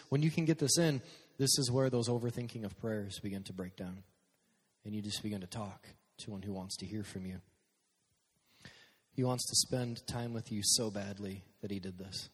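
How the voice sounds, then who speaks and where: Husky youth pastor preaching in a hall. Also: male voice, medium pitch. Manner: casual, energetic preaching with sudden drops to quiet prayer. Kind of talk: youth sermon. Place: church hall with a live sound system. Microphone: stage microphone.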